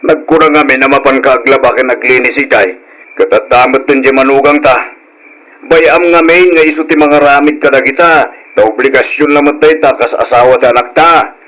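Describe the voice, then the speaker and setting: An actor playing an exasperated, bewildered husband in a radio drama, questioning, with a Filipino accent. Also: male voice, mid-range voice, fairly even intonation, brisk, fairly bright tone, natural voice, rough recording. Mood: exasperated, chiding. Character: exasperated husband, bewildered by his wife's choices. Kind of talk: Ilocano radio drama acting.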